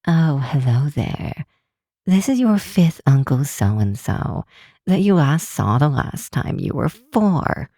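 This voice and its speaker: A cynical grinch girl, acted by a woman for ASMR roleplay, with a dark, husky voice. She speaks slowly and sardonically, leaning on words, yet has an affectionate streak underneath. The sound is close and very dry. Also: deep voice, big pitch swings, no room sound, exaggerated voice. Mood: cynical ranting. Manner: sardonic, exasperated, drawn-out emphatic words. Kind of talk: ASMR roleplay, scripted acting to the listener.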